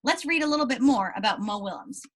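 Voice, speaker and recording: A woman's voice: a little high, lively intonation, fast talker, a bit bright, breathy edge. A woman teacher reading aloud and explaining things to young pupils, encouraging them and often checking in with questions. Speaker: primary school teacher.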